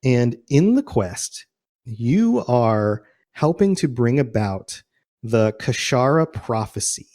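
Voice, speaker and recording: A man's voice: mid-range voice, sing-song, slow, a little mellow. A man chatting informally and casually as a podcast host about game lore, often chuckling.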